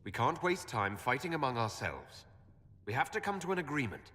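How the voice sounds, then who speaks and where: A man's voice: medium pitch, sing-song, moderate pace, bright, forceful tone. A man playing a resolute young hero in a video game, direct and earnest. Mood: determined. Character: resolute, questioning young male hero and envoy.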